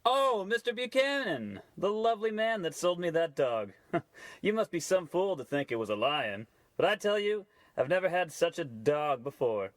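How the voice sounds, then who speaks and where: A man's voice: high-pitched, big pitch swings, moderate pace, no echo. A man playing effusively grateful, folksy townsfolk in a parody old-time radio play, earnest and admiring. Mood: gushing. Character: gushing, grateful townsfolk, sweetly admiring the crook.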